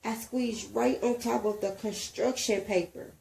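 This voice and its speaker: Female storybook reader, unhurried and expressive, with a soft mellow tone. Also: a little high, gravelly, slight background noise, poor audio. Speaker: reader, mother. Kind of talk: expressive storybook reading aloud.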